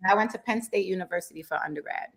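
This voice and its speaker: A woman in her thirties from Jamaica, raised in Brooklyn, talking as a guest entrepreneur in a relaxed, chatty radio interview. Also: on the low side, racing, creaky. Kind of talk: casual interview chat. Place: radio studio.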